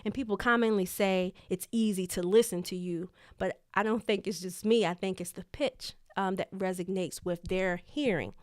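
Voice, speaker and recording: A woman who is a leadership coach, talking casually and deliberately, repeating key points for emphasis in a voice she has trained to sit lower than its natural high pitch. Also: fairly even intonation, dry recording, studio quality. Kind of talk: casual talk to camera.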